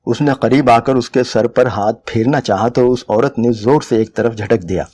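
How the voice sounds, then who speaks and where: A man's voice: medium pitch, normal speed, a little mellow. A man reading a literary short story aloud as dramatic scripted narration. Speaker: dramatic reader of short stories.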